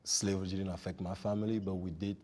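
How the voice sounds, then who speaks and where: A man from Cameroon in a played clip, explaining in a hesitant, soft-spoken way. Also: deep voice, level tone, fast, crowd noise.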